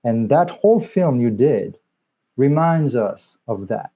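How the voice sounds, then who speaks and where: A man's voice: mid-range voice, expressive, slow pace. A man interviewing a film director at a festival, asking questions in an easy, encouraging way. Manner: conversational, encouraging. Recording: dull lo-fi sound.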